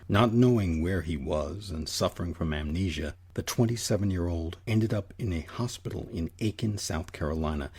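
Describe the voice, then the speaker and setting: A man with a husky voice delivering scripted documentary narration as the host. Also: sits low, a little mellow.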